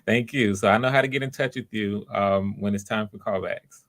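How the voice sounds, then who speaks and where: A man's voice: fairly low pitch, a little fast, fairly bright tone, no room sound. A man hosting auditions, chatting casually in an encouraging, supportive way. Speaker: host of live voice auditions. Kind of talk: casual chat.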